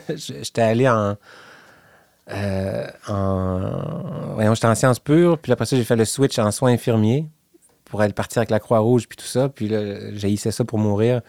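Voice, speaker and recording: A man's voice: fairly low pitch, a little slow, gravelly, a little mellow, dry recording, clean studio sound. A man from the Quebec City region with a Quebec French accent, chatting casually in an interview and telling stories with many restarts. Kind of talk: casual chat.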